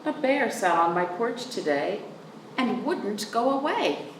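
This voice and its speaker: A woman reading aloud to young children in an expressive, sing-song way, drawing out words and speaking simply and clearly for them. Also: mid-range voice, a little slow, soft background music. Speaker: children's librarian, storytime reader. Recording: roomy echo, distant mic, faint noise.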